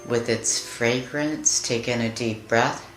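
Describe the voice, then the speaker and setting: A woman leading a guided meditation in a soothing, deliberate manner, leaving long pauses between phrases. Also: rumbling low, steady tone. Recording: roomy echo with music behind. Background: music.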